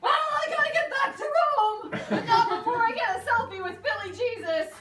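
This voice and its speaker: A woman voicing a giddy, starstruck pope in a comic puppet show, calling out excitedly. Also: very high-pitched, normal speed. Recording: distant mic, echoey noisy room.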